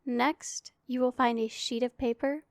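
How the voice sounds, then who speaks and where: A woman voicing a powerful female demon in an ASMR roleplay, imperious and teasing with a secretly caring streak, speaking in formal, deliberate phrases. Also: a little high, steady tone, no echo, studio quality, natural voice. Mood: commanding, smug.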